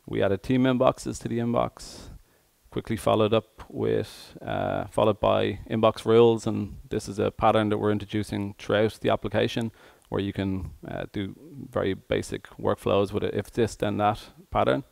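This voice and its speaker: Low-pitched, flat-toned man with a gravelly creak. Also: moderate pace, no room sound. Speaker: conference speaker, software engineer. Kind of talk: tech conference presentation.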